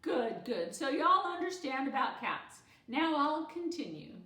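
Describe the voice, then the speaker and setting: A woman's voice: sits high, big pitch swings, unhurried, sharp tone, roomy sound, pitched above the performer's own voice, exaggerated voice. A woman voicing a confident, composed schoolgirl who holds court as a storyteller in a children's book, emphatic and poised. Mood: patient, commanding.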